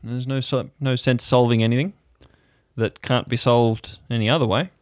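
A man's voice: mid-range voice, steady tone, normal speed, no room sound, clean studio sound, close to an everyday voice. A man playing an evasive, dismissive former sailor in his thirties in an improvised audio drama. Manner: stubborn and dismissive.

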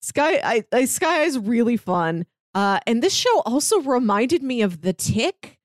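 A woman's voice: on the high side, very animated, measured pace, no echo, studio quality. A woman chatting casually and enthusiastically as a podcast panelist, often dropping "like" into her sentences. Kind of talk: casual review chat.

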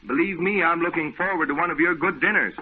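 A man playing a hearty, reassuring friend who talks in a brisk, coaxing way, in 1940s radio acting. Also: on the high side, lightly acted. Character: hearty, reassuring male friend, middle-aged. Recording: tinny old radio broadcast with faint hiss.

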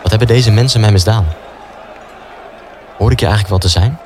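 A man plays a stubborn young student idealist in a wartime radio drama. His voice is on the low side, earnest and self-justifying. Clear noise runs behind him. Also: a little fast, a little mellow, close to an everyday voice. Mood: resolute, then doubting. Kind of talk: radio play dialogue and inner monologue.

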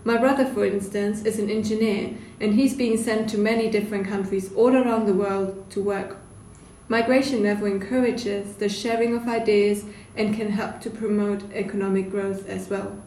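Mellow young woman, distant and echoey. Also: medium pitch, fairly even intonation, moderate pace. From Germany. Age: young adult. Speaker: student panelist. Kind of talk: prepared podcast discussion. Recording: echoey room, distant mic, faint noise.

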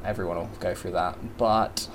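A man from England with an English accent carrying an Australian twang, chatting casually as a podcast guest, upbeat and quick to laugh. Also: steady tone, slight background noise. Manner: upbeat, laughs often, breaks into high laughs. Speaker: podcast guest, content creator. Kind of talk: casual chat.

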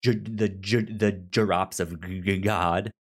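A man's voice: deep voice, fairly even intonation, takes it slowly. A man co-hosting a podcast, chatting casually about his opinions, with a stutter that repeats the starts of words. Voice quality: stutter, repeating initial sounds. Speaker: podcast co-host. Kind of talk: casual opinionated review chat.

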